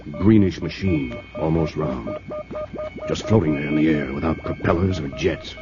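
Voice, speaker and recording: A gruff army general in a 1950s radio play, speaking in a very deep voice with a clipped manner. The old broadcast sounds dull, with music clearly underneath. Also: male voice, moderate pace. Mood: grim. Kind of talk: 1950s radio drama acting.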